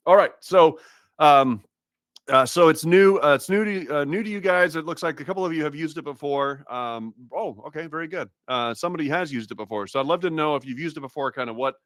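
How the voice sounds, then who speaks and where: A man's voice: mid-range voice, fairly bright tone, dry recording, studio quality. A man from Massachusetts hosting a live webinar, chatty and upbeat, with lots of fillers and restarts. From Massachusetts, USA. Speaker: webinar host.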